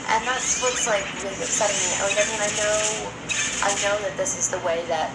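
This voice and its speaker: A woman's voice: mid-range voice, fairly quick, heavy background noise. A woman in a casual, opinionated podcast discussion, speaking in an earnest, exasperated way. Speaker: podcast co-host. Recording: roomy echo, loud music behind. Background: loud background music.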